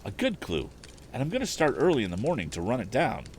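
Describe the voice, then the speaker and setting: Man voicing an eager, excited young inventor, noisy recording. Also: mid-range voice, quick pace, pitched above the performer's own voice. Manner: exclaiming, earnest.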